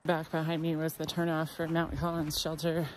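A woman's voice: low voice, completely flat delivery, normal speed, a little hiss or hum. Chatty woman hiking a forest trail. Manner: chatty, wry, breathy from hiking. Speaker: thru-hiker vlogger. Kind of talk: casual walking vlog. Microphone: phone held at arm's length.

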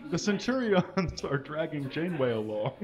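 A man giving a live comedic reading of fan fiction on a small stage, mostly dramatic with deadpan asides, reading punctuation aloud. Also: on the high side, normal speed, a crowd in the background. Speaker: comedic reader. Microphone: stand mic. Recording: dull, lo-fi live recording.

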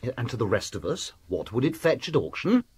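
A man playing a blunt, excitable young English gentleman in a radio drama, sometimes stammering. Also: medium pitch, fairly quick, slightly rough. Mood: impatient, brisk. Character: brash, impatient, jittery young English gentleman.

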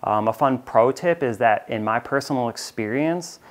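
Man, thirties, american accent, steady clear explainer, lapel mic, studio. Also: mid-range voice, moderate pace. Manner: clear, informative, steady presenting. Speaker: company presenter. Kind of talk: product explainer. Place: bright studio with shelves of plush toys.

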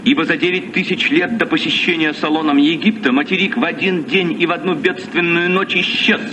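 A man's voice: a little high, fairly even intonation, fairly quick, natural voice. Radio play role: scholarly sceptical male captain played by an actor, lecturing, on a noisy, distant old recording. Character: learned, sceptical sea captain citing scholarship. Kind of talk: Soviet children's radio play acting.

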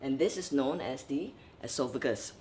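A young woman vocal coach, from Malaysia, giving lively, chatty tips in a vlog while her voice is dry and scratchy. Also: very deep voice, normal speed. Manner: lively, chatty, many fillers. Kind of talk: instructional vlog.

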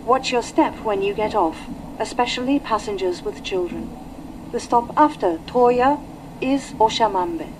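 Measured female train announcer. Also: fairly high pitch, expressive. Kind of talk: pre-recorded scripted announcement. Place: played over speakers inside moving train. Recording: noisy train carriage speaker.

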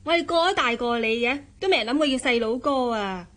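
A woman's voice: high voice, expressive, fairly quick, fairly bright tone. An actress playing a fussy, cynical married woman who acts like a big sister, in a radio drama with a Hong Kong Cantonese accent. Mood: big-sisterly.

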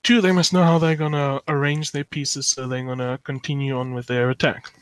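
A man from South Africa teaching chess live over an online call, pausing often with fillers as he thinks aloud and answers chat. The sound is dry and close, recorded at a desktop mic. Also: mid-range voice, flat delivery, no room sound. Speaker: chess lecturer.

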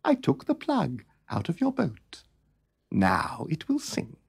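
A man voicing a clever, gentle storybook queen with a calmly knowing manner. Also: a little high, slow, gravelly, slight background noise, exaggerated voice. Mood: quietly triumphant.

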